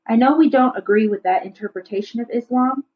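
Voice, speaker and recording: A woman's voice: a little high, brisk, voice pushed up, lightly acted. Tired, conciliatory storybook dad, read by a woman, slightly lo-fi recording. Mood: apologetic. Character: worn-out, mild, conciliatory father in a family story.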